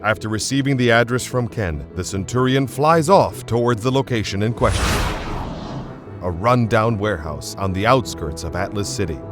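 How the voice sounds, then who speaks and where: A man's voice: fairly low pitch, lively intonation, normal speed, music in the background. A man giving dramatic scripted narration as the narrator of a radio play.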